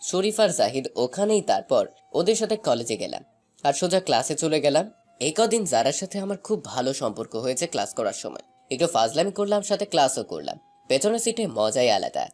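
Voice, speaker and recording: A man's voice: mid-range voice, very animated, a little fast, a little mellow, faint music behind. A man playing a shy young college student, narrating a romantic story in the first person.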